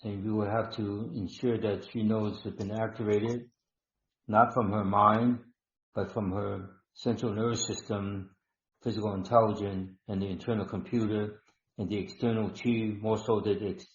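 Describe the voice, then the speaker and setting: A low-pitched man leads a live healing webinar, talking slowly and instructively with frequent ums and repeated phrases. The sound is dull and roomy, like a muffled online call. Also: flat delivery. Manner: slow, instructive, repeats words, many ums. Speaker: webinar host and healer. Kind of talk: live stream healing session.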